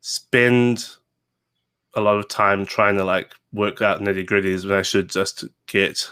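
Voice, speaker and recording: A man's voice: on the low side, steady tone, measured pace, fairly bright tone, clear, resonant tone. A man in his twenties with a New Zealand accent, a game designer chatting casually as an interview guest, rambling with fillers and restarts. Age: 20s.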